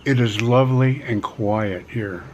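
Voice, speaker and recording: A middle-aged man giving a casual vlog pitch from a quiet rural home, talking in a folksy way, repeating himself and stressing key words. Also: a little slow, lo-fi sound. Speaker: vlogger offering relocation advice.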